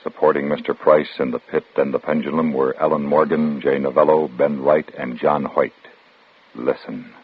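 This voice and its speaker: Extremely low male voice, American, formal scripted radio announcing, measured, narrow vintage broadcast sound. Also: flat delivery, slightly rough. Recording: tinny old radio broadcast, music behind.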